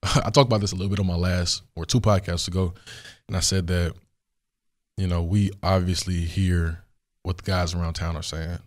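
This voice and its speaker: A young man with a hoarse, worn voice, hosting a solo question-and-answer podcast in a studio, talking in a relaxed, rambling way with many fillers. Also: sits low, normal speed. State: hoarse, recovering voice. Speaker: podcast host.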